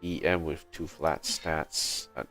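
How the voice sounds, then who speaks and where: A man giving casual livestream gameplay commentary, thinking aloud in a halting, muttering way. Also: extremely low pitch, steady tone, fairly bright tone, soft background music. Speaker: game livestreamer.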